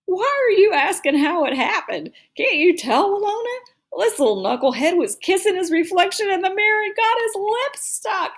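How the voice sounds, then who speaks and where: A woman's voice: mid-range voice, animated, moderate pace, fairly bright tone. A woman voicing an amused, exasperated father in his thirties in a storybook, teasing and emphatic. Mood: mocking. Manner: mocking, emphatic.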